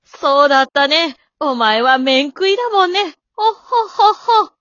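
Radio drama grandmother, female amateur: elderly, high-pitched, teasing and doting, old-folk phrasing, close dry sound. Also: lively intonation, moderate pace, fairly bright tone, no echo, highs cut off. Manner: old-folk drawl with hearty chuckles.

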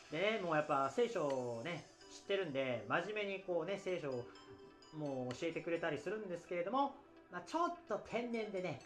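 A man's voice: fairly high pitch, a bit bright. A man hosting a casual solo podcast after-talk, explaining things in a hesitant, conversational way. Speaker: podcast host.

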